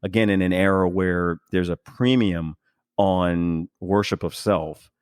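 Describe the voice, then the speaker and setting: A man with a deep voice talks in a very dry acoustic as a podcast host. He feels his way through each thought in a casual, reflective style, earnest and emphatic, often stopping to find the next word. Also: fairly even intonation, clear, resonant tone, no echo. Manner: halting, searching for words, earnest.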